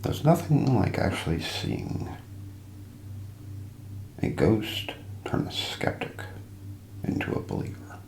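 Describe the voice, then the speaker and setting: A deep-voiced man with heavy vocal fry narrates mysteries in a hushed ASMR style. He moves slowly and deliberately, building suspense. The recording is roomy, with light background noise. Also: huge pitch swings. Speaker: narrator and host of an ASMR role play.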